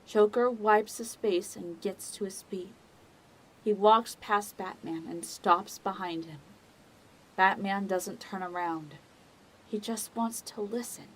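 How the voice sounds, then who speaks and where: A woman giving dramatic scripted narration for an audio drama, in a measured and solemn manner. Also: mid-range voice, slight background noise, rough recording.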